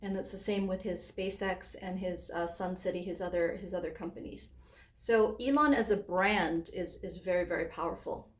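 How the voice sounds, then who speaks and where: A woman's voice: lowish voice, normal speed, reverberant. A woman in her forties, raised between Hong Kong and Canada, giving a conversational webinar presentation with frequent fillers and restarts. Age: 40s.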